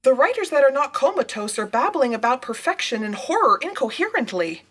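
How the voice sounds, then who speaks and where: A woman's voice: high voice, very animated, brisk, a bit bright. A woman playing an unflappable, literal-minded sidekick, speaking precisely and formally in a comic sci-fi audio drama. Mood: informative. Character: literal-minded, unflappable female sidekick reporting scan results.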